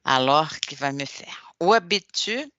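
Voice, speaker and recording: A man's voice: a little high, lively intonation, a little slow, pushed and bright, no echo, lo-fi sound. A Brazilian man whose first language is Portuguese, teaching a French class and patiently correcting and repeating his students' answers over an online call. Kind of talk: language class drilling questions.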